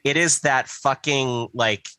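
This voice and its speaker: A man co-hosting a podcast in casual, sweary talk, building up exaggerated points in halting, repetitive phrases. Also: mid-range voice, background noise. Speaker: podcast co-host. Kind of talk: casual, profane group chat.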